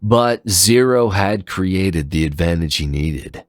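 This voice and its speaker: A man's voice: sits low, animated, slow pace, no echo, studio quality. A man narrating a scripted dramatic fan fiction story.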